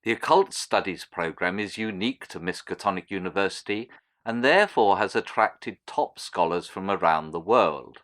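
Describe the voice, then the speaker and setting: An actor playing a pompous university announcer in an audio drama, reading a formal, declamatory promotion with precise, self-important delivery. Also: male voice, deep voice, lively intonation, moderate pace, a bit bright. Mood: proud, formal. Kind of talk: formal scripted academic announcement.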